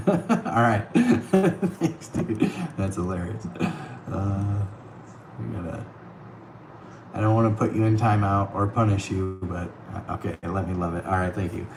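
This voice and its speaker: A man's voice: on the low side, expressive, a little slow, long pauses, roomy sound, noisy background. A man hosting a casual livestream, chatty and thanking viewers by name, laughing often, his voice a bit worn. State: voice getting worn. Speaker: livestream host. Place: on the road, streaming from an iPad. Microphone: tablet mic.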